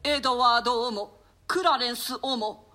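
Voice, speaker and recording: A woman's voice: fairly high pitch, moderate pace, pushed and bright. A woman acting a stern, grieving old duchess and grandmother in a classic stage play, speaking in a theatrical, archaic manner. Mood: grieving lament. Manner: lamenting, theatrical, admonishing.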